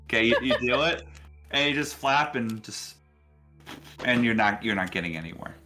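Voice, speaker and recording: A man narrating a tabletop game casually as game master, halting and thinking aloud as he goes. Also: mid-range voice, animated, music in the background.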